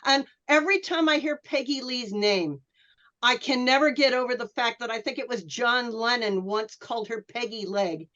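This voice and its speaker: A woman with a fairly high voice chats on an online panel through slightly muffled, lo-fi audio. She is thoughtful and a little hesitant, wandering into asides as she talks. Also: normal speed, fairly bright tone. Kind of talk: casual panel chat. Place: online video call panel. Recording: dull, lo-fi online call audio.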